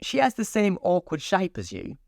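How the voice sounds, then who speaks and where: Storybook: a haughty, sharp-tongued female rose, high-pitched, voiced by a male reader. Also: wildly swooping pitch, moderate pace, creaky, no room sound, clean studio sound, full character voice. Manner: haughty, critical.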